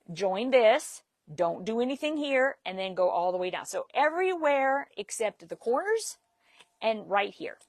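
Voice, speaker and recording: A woman's voice: medium pitch, dry recording. A woman leading a live sewing demonstration, chatting and laughing while she works. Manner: chatty, laughs easily, talks while working. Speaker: sewing instructor.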